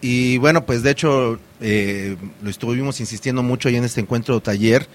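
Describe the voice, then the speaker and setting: A man's voice: mid-range voice, a little fast. A man hosting a community radio program, talking in a loose, hesitant way with many fillers and restarts.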